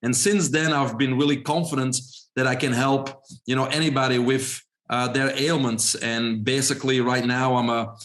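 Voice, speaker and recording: A man's voice: mid-range voice, normal speed, hardly any pauses. Belgian man telling his story. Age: 40s. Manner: relaxed, storytelling with frequent fillers. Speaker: guest. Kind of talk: podcast interview.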